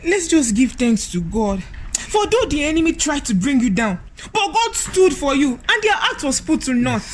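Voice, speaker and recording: A woman's voice: sits high, big pitch swings, normal speed, slight background noise. A woman with a Nigerian accent playing a devoted, anxious, prayerful wife in a radio drama, emotive and repeating her words. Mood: thankful, prayerful.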